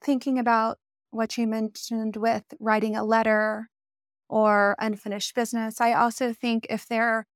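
A woman hosting an interview, affirming her guest and sharing her own thoughts in a warm, encouraging way. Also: on the high side, level tone, unhurried, clean tone, no room sound, clean studio sound. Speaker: podcast host. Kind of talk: interview conversation.

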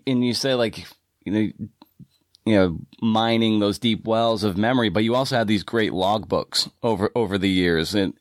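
A man's voice: lowish voice, no room sound, studio quality. A man hosting an interview podcast, asking casual questions in a rambling, tentative way with repeated words.

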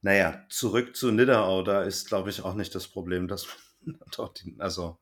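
Casual male podcast host, lowish voice. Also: moderate pace, a bit bright.

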